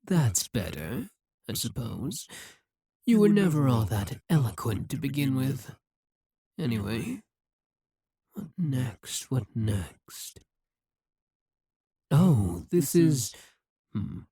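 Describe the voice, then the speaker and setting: A man voicing a scheming, possessive male demon king in an audio roleplay, talking slowly and intimately into a close mic. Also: mid-range voice, sing-song, dark tone, husky, dry recording, exaggerated voice. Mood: musing. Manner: slow, measured, intimate delivery. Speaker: voice actor.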